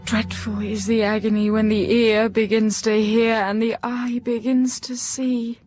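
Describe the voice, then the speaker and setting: Young English governess, melancholy and intense. High voice, breathy halting delivery, period audio drama over music. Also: female voice, flat delivery, a little mellow. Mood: dazed, fragile. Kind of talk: literary audio drama acting.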